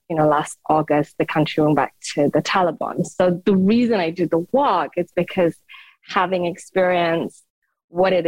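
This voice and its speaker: A middle-aged woman from Afghanistan, a guest in an interview, speaking earnestly and thoughtfully with frequent pauses and restarts. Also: fairly low pitch, darkish tone, no room sound, poor audio. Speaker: guest, founder of a psychological aid charity. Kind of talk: conversational interview.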